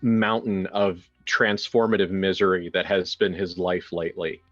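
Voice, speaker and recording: Low-voiced man narrating over music, slightly muffled. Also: little pitch movement. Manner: halting, searching for words with repeated phrases. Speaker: tabletop role-player narrating his character. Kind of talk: improvised game narration. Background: background music.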